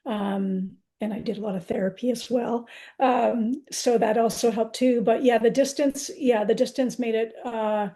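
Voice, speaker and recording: Woman in her 60s, soft dark tone, gravelly fry, candid, conversational poet guest. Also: fairly high pitch, steady tone, moderate pace. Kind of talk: conversational interview answers.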